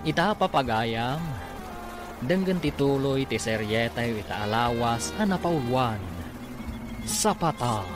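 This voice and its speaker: A man's voice: mid-range voice, expressive, slow pace. A man narrating a radio drama from a script as the storyteller. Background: music under the voice.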